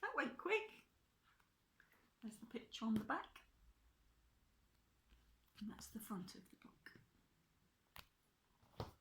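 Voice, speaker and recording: Older woman reading slowly in an echoey room. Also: fairly high pitch, sing-song, a bit bright, a little hiss or hum. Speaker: grandmother reading a storybook. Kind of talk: children's story read aloud with chatty asides.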